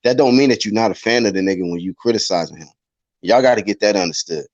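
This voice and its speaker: A man's voice: fairly low pitch, fast talker, darkish tone, dry recording, dull audio. A man hosting a casual online talk show, arguing bluntly and reading out viewer chat. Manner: blunt, argumentative, reads chat. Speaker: show host. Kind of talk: heated casual debate.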